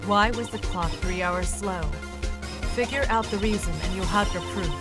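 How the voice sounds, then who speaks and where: A confident female law-firm boss, acted by a woman, mentors firmly in a game fandub. Her delivery is conversational and coaxing, at a middle pitch, with loud music underneath. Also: normal speed, lightly acted. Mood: coaxing, encouraging. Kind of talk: video game fandub dialogue.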